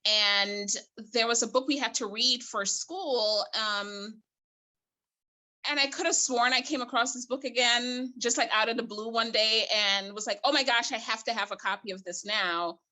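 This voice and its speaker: Woman from Guyana, librarian guest, bright tone, hesitant and reflective. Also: a little high, normal speed. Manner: hesitant, thoughtful, many fillers and restarts. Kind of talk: casual interview chat.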